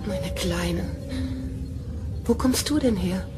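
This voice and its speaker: A woman's voice: mid-range voice, animated, slow pace. An actress playing a young female starship officer in a radio play. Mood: tender. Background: loud music.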